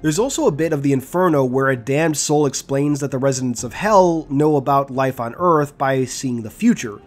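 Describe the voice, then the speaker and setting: A man's voice: mid-range voice, normal speed, soft background music. A man presenting a video as its host, reading scripted explanatory narration. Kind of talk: scripted guide and lore narration.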